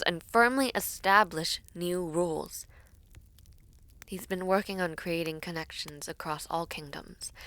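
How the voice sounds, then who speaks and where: A diplomatic young princess, played by a woman, speaks in a lowish voice, recorded close and dry. Her manner is measured and courtly, with earnest loyalty, as in a fantasy audio drama. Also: a bit bright, dry recording. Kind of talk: dramatic audio roleplay acting.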